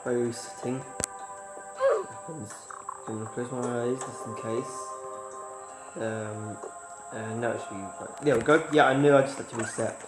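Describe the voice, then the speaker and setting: A man's voice: medium pitch, steady tone, normal speed, long pauses. Chatty man gaming with a cold. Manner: chatty, talks to viewers, shouts when the game goes wrong. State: has a cold, sniffling. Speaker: gaming YouTuber. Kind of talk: casual gameplay commentary. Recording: roomy with loud game music.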